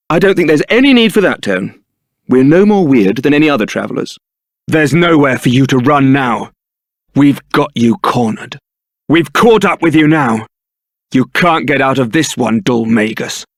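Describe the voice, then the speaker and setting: A man's voice: fairly high pitch, lively intonation, unhurried, dry recording. A man playing a suave, sardonic young templar knight and roguish ladies' man in a fantasy video game, with a dry, theatrical delivery. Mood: wry, teasing, then defiant.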